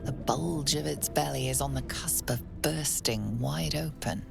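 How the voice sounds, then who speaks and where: A woman voicing an ominous storyteller, narrating a video game in measured scripted lines. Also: extremely low pitch, gravelly, over music, full character voice.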